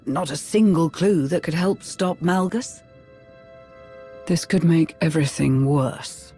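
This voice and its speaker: An actor playing an earnest, uneasy Imperial aide in a video game, speaking formally and with concern. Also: male voice, fairly high pitch, slow pace, mellow, soft background music. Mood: uneasy, businesslike. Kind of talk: video game cutscene acting.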